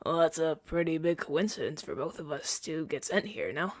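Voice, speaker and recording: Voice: medium pitch, expressive, normal speed, no echo, clean studio sound, natural voice. A woman playing a flustered, self-righteous hero in a scripted ASMR audio drama, with a theatrical, stammering delivery. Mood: bewildered. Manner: stammering, indignant, dramatic delivery with breathy whispers.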